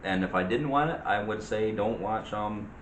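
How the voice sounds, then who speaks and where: A man giving casual movie opinions as a co-host in a halting, rambling way. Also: on the low side. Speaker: co-host of a movie discussion show. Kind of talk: casual chat about movies. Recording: roomy echo with light background noise.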